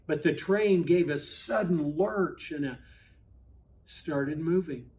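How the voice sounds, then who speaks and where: A man, a pastor, reads a children's storybook aloud expressively, with dramatic pauses. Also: on the high side, darkish tone, a little hiss or hum.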